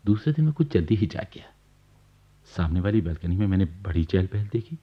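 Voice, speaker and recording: Deep-voiced, chatty bedridden man. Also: big pitch swings, brisk, husky, heavily acted. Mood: fond, amused. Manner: chatty, wry, confiding in the listener. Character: genial bedridden government officer recounting his neighbours' life. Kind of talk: Hindi radio play narration. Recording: dull archival radio recording.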